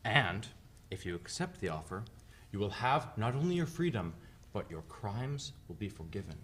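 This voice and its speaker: Man playing husky, coldly polite government envoy. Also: on the low side, very animated, moderate pace, a bit bright, exaggerated voice. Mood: polite, businesslike. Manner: formal, condescending, hesitant phrasing. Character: smooth, coldly polite colonial government envoy, unbothered by cruelty. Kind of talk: dramatic reading of a stage play.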